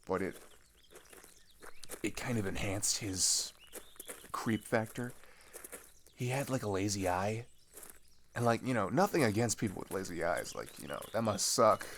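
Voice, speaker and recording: A man's voice: on the low side, lively intonation, measured pace, long pauses, a bit bright, slight background noise. A young man playing a chatty, sarcastic teenage traveler in a casual audio roleplay, rambling in hesitant slang. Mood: amused unease. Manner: rambling, hesitant, slangy, filler-heavy, giggly. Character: chatty, sarcastic teenage boy traveler swapping road stories.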